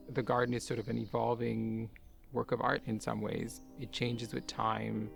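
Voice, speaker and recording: A man who grew up in Kuwait, a garden owner and artist, talking in a soft-spoken, musing way with light laughs. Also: medium pitch, flat delivery, slow pace, creaky, pauses often, faint music behind. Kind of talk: reflective personal talk for a garden video.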